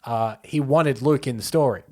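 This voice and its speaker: A man's voice: medium pitch, moderate pace, warm tone. A man in easygoing conversation as a co-host. His voice is mid-range for a male, and he reacts casually with a joking touch. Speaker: podcast co-host. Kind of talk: casual chat, prompting and reacting.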